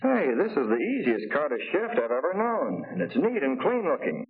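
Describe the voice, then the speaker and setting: A man's voice: mid-range voice, wildly swooping pitch, moderate pace, breathy edge, exaggerated voice. An actor playing a practical, eager man admiring a new car in a chatty, emphatic 1940s promotional film style. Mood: keen, explanatory. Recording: tinny 1940 film soundtrack.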